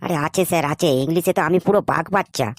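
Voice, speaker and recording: A man's voice: sits high, racing, gravelly, no room sound, clean studio sound. A man playing a cocky, dim-witted job candidate in a comedy cartoon, earnest and wheedling. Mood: cocky, confident.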